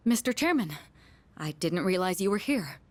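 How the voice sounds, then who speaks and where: A woman's voice: on the low side, very animated, normal speed, darkish tone. A woman playing a polite, composed, reserved teenage girl in a video game, formal and a little hesitant.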